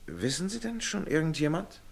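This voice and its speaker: An actor in a German radio play voicing a well-meaning, paternal middle-aged gentleman, husky and emphatic, with educated, persuasive phrasing. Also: on the high side, wildly swooping pitch, moderate pace, heavily acted. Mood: earnest, advising. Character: well-meaning gentleman friend, paternal, urging, somewhat worn.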